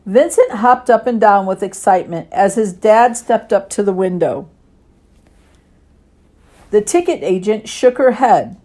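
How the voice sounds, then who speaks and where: A woman reading a children's story and gentle lesson expressively, as a Sunday school teacher does. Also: mid-range voice, animated, unhurried, long pauses.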